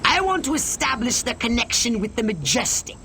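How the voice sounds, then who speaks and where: An actor playing a bossy, anxious alien commander in a video game, with an imperious, fussy and theatrical manner. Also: male voice, very high voice, sing-song, fairly quick, creaky, noisy background, exaggerated voice. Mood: commanding. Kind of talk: video game cutscene acting. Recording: loud music behind voice.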